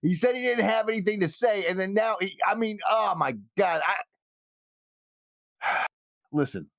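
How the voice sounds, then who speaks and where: A man's voice: high-pitched, measured pace, gravelly, a bit bright, no room sound, clean studio sound, pitched above the performer's own voice, exaggerated voice. A man voicing a hot-tempered, protective brother in a tabletop game, blunt and exasperated, repeating himself.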